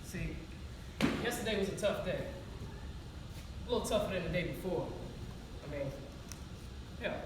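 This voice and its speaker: An actress playing a wounded, frank, streetwise homeless young woman in a plainspoken, profane stage monologue with dramatic pauses. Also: lowish voice, animated, lightly acted. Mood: weary, wry. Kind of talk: theatrical monologue in a one-act stage play. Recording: echoey hall, far-off mic, background noise.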